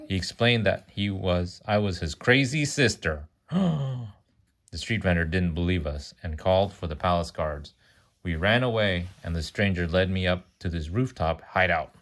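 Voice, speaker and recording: A man with a low-pitched voice reads a storybook aloud for a child. He sometimes trips on a word and fixes it, and he slips in casual asides about the story. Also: normal speed, a bit bright. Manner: stumbles over words and corrects himself.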